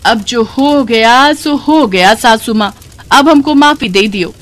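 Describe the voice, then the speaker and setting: A woman's voice: a little high, slow. A woman voicing a brash, cheeky young village daughter-in-law in a folksy, exclamatory way with a rustic Banaras accent, for a story. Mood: pleading.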